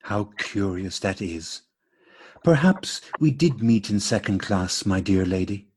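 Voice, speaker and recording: A man playing a courteous, puzzled gentleman with formal, mock-astonished delivery in an absurdist radio play. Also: on the low side, expressive, unhurried, husky. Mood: mock astonishment. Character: courteous, puzzled English gentleman meeting a lady. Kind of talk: absurdist stage dialogue read as radio play.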